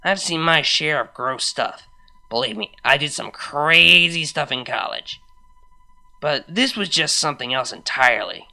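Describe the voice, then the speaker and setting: A reader voicing a young female unicorn who tells her story in a casual, confiding, expressive way, wry and fond, as in a fan fiction audiobook. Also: mid-range voice, unhurried, vocal fry, full character voice. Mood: amused disgust. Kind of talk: first-person fan fiction reading, conversational.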